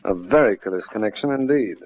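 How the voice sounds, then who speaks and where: An actor playing a confident police detective sergeant in an old radio drama, measured, dry and pressing. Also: male voice, fairly low pitch, expressive, phone-quality sound, very noisy, close to an everyday voice. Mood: thoughtful.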